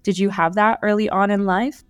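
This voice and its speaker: A woman's voice: medium pitch, steady tone, normal speed, smooth, dry recording, soft background music. A woman hosting a podcast, asking a guest thoughtful, winding questions. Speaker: podcast host. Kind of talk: interview questions.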